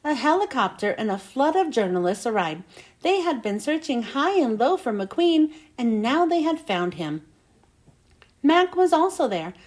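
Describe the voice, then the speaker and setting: A woman reading a children's storybook aloud in an expressive way, with dramatic storytelling emphasis. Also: high voice, a little slow. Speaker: children's book reader.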